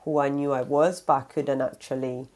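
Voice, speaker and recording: A woman's voice: rumbling low, level tone, normal speed, a little mellow. A woman in her thirties from Italy with an Italian accent, a native Italian speaker, explaining things as an interview guest. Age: 30s.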